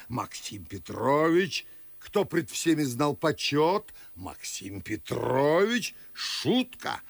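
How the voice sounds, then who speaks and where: An actor playing a pompous, excitable old Russian nobleman in a verse radio play, speaking in a gravelly, husky voice and savouring his words. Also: on the high side, no echo, heavily acted. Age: older. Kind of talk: theatrical verse radio drama.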